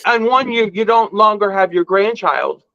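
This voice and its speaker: High-voiced man on dull lo-fi audio. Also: lively intonation, normal speed, gravelly, pushed and bright, no echo. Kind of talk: casual conversation.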